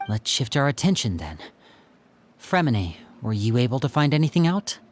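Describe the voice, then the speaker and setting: A performer playing a calm, earnest youth in a video game, measured and telling things like a story. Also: medium pitch. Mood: sober.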